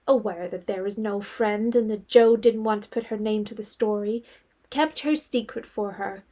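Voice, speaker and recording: A woman's voice: fairly high pitch, darkish tone. A woman reading scripted storytelling narration as the narrator of a period audio drama.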